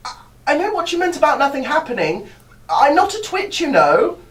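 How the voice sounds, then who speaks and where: A man's voice: very high voice, huge pitch swings, normal speed, exaggerated voice. A man acting in a live radio play as an earnest, flustered young British companion to an amateur sleuth, hesitant and exclamatory in old-fashioned phrasing. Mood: anxious, earnest. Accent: British English. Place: live stage performance recorded from the audience area. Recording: echoey hall recorded from far off.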